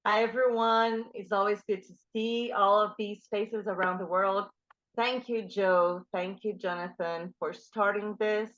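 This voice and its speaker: A woman's voice: medium pitch, steady tone, unhurried, fairly bright tone. A woman giving brief, grateful thanks over a video call. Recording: dull video-call audio.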